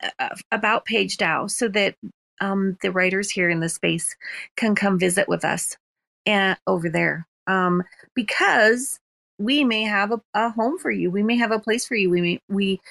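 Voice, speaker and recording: A woman's voice: mid-range voice, creaky, fairly bright tone. A woman hosting an online audio chat, welcoming and encouraging the guests as she calls on each speaker in turn. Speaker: host.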